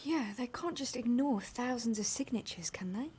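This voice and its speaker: An earnest woman protester in a radio drama. Her voice is breathy and on the high side, and she speaks tentatively, as if asking. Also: normal speed, darkish tone. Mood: upset. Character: soft, earnest, tentative woman protester with quietly bold ideas. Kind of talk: naturalistic audio drama.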